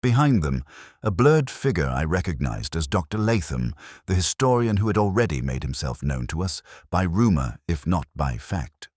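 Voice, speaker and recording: A man reading first-person detective fiction as narrator, in a measured, reflective, literary manner. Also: sits low, big pitch swings, a little mellow, no echo, studio quality.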